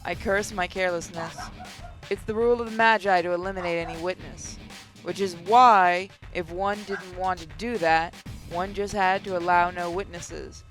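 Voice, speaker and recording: A gaming narrator reads story text aloud with care and weight. The intonation stays fairly flat, and game music plays softly behind the voice. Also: mid-range voice, normal speed. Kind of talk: reading visual novel narration aloud.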